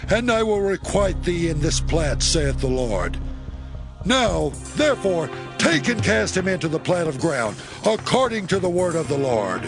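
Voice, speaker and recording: A man's voice: fairly high pitch, big pitch swings, unhurried, music in the background. An actor playing a fierce, commanding warrior king in a scripture audio drama, with imperious, declamatory delivery. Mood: grim, solemn.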